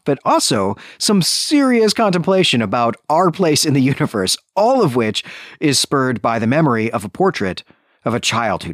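A man's voice: medium pitch, no echo, clean studio sound. A man co-hosting a literary podcast, talking and reading in an earnest, reflective, bookish way. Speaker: podcast co-host. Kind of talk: literary discussion with passages read aloud.

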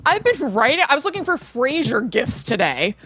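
A woman's voice: high-pitched, very animated, normal speed, fairly bright tone, slightly rough. A woman co-hosting a podcast, telling stories in a casual, rambling way with wry, playful teasing. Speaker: podcast co-host. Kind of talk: casual chatty storytelling.

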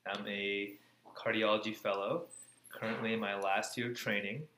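A man's voice: on the low side, little pitch movement, normal speed, bright, forceful tone. An American man in his thirties, answering interview questions in a casual way. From Florida, USA. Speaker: interview guest, cardiology fellow. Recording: echoey room, faint background noise.

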